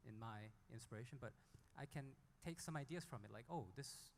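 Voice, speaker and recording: Man in a reverberant hall, halting. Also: medium pitch, slight background noise. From South Korea. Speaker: indie singer-songwriter on a panel. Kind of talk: unscripted panel talk. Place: hall at a music conference panel. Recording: echoey hall with long reverb.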